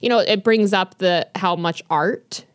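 A woman's voice: medium pitch, unhurried, no room sound, clean studio sound. A woman co-hosting a podcast, chatting casually and rambling with many fillers and restarts while recommending books. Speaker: podcast co-host. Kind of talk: casual chat about books.

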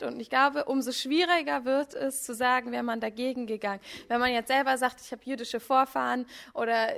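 A woman's voice: high voice, gravelly, a bit bright. A woman thinking aloud in a talk with a school class, turning the question back to the students. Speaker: actress answering a school class.

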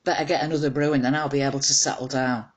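A woman's voice: very deep voice, steady tone, rapid-fire, dull audio. A woman voicing a scatterbrained, easily distracted woman writer in a short story, chattering to herself in a flustered way. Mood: self-cajoling. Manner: chatty, flustered self-talk. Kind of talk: short story character dialogue.

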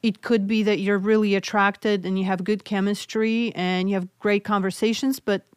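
A woman with a Polish accent talks frankly on a podcast, her voice smooth and clear. She jokes and thinks aloud, restarting sentences as she works through an answer. The sound is dry and close. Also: mid-range voice, flat delivery, normal speed, no echo. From Poland. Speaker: podcast co-host, mental health nurse practitioner. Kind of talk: casual podcast chat.

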